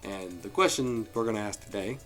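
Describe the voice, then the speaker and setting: A man giving a casual, jokey review commentary as the host, with animated delivery and frequent asides. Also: lowish voice, a little fast. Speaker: host of a fan story review show. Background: music.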